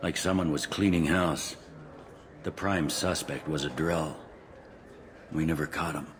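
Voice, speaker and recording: Weary game cop, low gruff voice, blunt wry delivery, long reverberant hall. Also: male voice, steady tone, measured pace. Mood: weary, skeptical. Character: gruff, world-weary male police captain. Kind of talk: video game character dialogue. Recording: long hall reverb, faint background noise.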